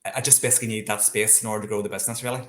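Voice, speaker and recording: A man's voice: on the low side, flat delivery, racing, fairly bright tone. Chatty Northern Irish man in an echoey room. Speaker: interview guest, landscape painter. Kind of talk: casual interview, personal storytelling. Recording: echoey, roomy sound.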